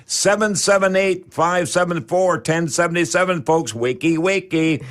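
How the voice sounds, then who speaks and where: A man's voice: high-pitched, moderate pace. A man hosting a live call-in radio show in a folksy, rambling way with hesitant phrasing. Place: radio studio.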